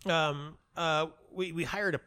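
A middle-aged man talking casually and reflectively as an interview guest, halting and restarting often, with a self-deprecating manner. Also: on the high side, steady tone, sharp tone, smooth. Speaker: playwright and audio dramatist being interviewed.

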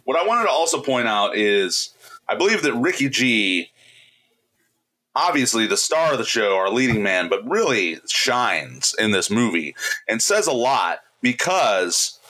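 Jokey man with a bright voice. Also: medium pitch, big pitch swings, a little slow. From Indiana. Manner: jokey, rambling, breaks into laughs. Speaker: podcast co-host. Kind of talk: casual movie podcast chat.

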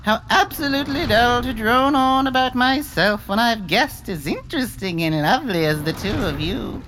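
A woman voicing an eccentric, fawning sorceress in an audiobook, flattering and babbling, with a sly, scornful edge. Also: fairly high pitch, moderate pace, noisy background, natural voice. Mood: gushing. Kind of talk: audiobook character acting.

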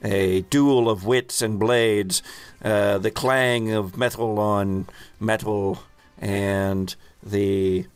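A man narrating a tabletop roleplaying game as game master, in a halting, deliberate storytelling manner. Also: on the low side, fairly even intonation, smooth, no echo, soft background music.

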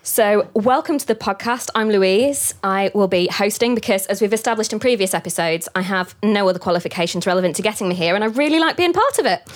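A woman's voice: mid-range voice, animated, fast, hardly any pauses. A woman hosting a podcast in casual chat, laughing often between her lines. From England. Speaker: podcast host.

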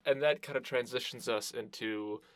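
A man's voice: mid-range voice, normal speed, fairly bright tone, no echo. A man hosting an interview podcast, asking questions in a casual conversational style.

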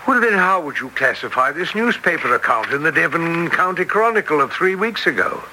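An actor playing an earnest, excitable country doctor, telling things with theatrical weight in a 1940s radio drama style. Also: male voice, fairly high pitch, brisk. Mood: urgent. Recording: noisy old radio broadcast recording.

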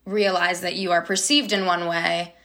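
A young woman, an actor being interviewed, talking casually and reflectively with many fillers and soft laughs. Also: lowish voice, flat delivery, normal speed. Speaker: actor guest.

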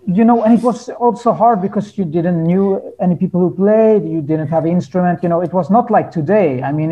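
High-pitched, mellow-voiced man chatting. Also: muffled recording. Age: 30s. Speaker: guest musician, hurdy-gurdy player. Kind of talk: casual interview storytelling.

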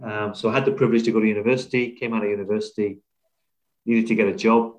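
Middle-aged man, British accent, lowish voice, conversational interview style, reflective, often pausing. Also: steady tone, low-quality recording. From Wales, UK. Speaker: podcast guest, business leader. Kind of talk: conversational interview, telling own career story.

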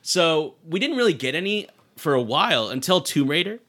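Bright-voiced man hosting a casual chat. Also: fairly high pitch, sing-song, moderate pace. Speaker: podcast host.

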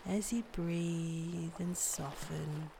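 A woman guiding a meditation in a soft, breathy, soothing voice with measured delivery and long pauses. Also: fairly low pitch, fairly even intonation, background noise. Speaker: meditation guide and podcast host. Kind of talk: guided body scan meditation, scripted narration.

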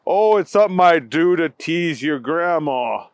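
A man's voice: a little high, expressive, unhurried, no room sound. A man doing a jolly, teasing old grandpa. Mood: easygoing. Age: older.

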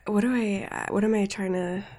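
A woman's voice: medium pitch, fairly even intonation, normal speed, darkish tone. A woman with a stuffy nose from a cold, co-hosting a podcast and chatting casually about a cartoon, rambling with lots of fillers. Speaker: podcast co-host. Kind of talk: casual cartoon review chat.

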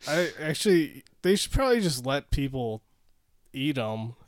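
A man's voice: mid-range voice, sing-song, moderate pace, no echo. Wry man in dry, close-sounding casual chat. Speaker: co-host on a movie discussion podcast.

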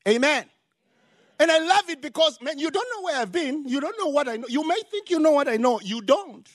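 An older male pastor with a Zimbabwean accent preaches with emphatic force. His voice sits high for a man and climbs further when he drives a point home. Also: lively intonation, fairly quick. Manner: emphatic preaching with rising exclamations. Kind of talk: sermon.

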